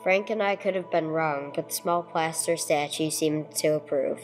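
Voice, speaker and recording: A woman's voice: sits low, normal speed, faint music behind, lightly acted. A woman playing a terse, sober police detective who narrates a case in an old-time radio drama style, on a noisy recording. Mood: sober, reflective. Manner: matter-of-fact, clipped. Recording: clearly noisy amateur recording.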